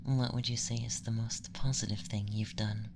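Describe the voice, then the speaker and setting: A man voicing a young, effeminate, clinically curious alien abductor in a soft, hushed, breathy ASMR roleplay, questioning formally in a measured, detached way. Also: fairly low pitch, fairly even intonation, noisy background, lightly acted. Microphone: close microphone.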